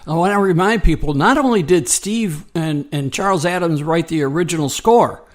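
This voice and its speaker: A man's voice: fairly high pitch, normal speed. An American man co-hosting a political talk radio show, speaking conversationally and earnestly, often circling back over his phrases.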